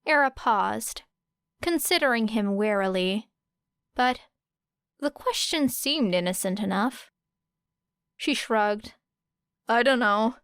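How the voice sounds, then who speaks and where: A woman's voice: mid-range voice, lively intonation, slow pace, pauses often, a bit bright, smooth, no room sound, clean studio sound. A woman reading scripted fiction as an audiobook narrator. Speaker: podfic narrator.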